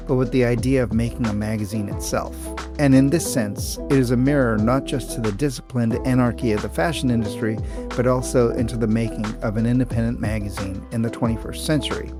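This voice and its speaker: A man hosting a podcast, reading a measured, articulate intro and asking interview questions. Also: medium pitch. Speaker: podcast host. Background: music.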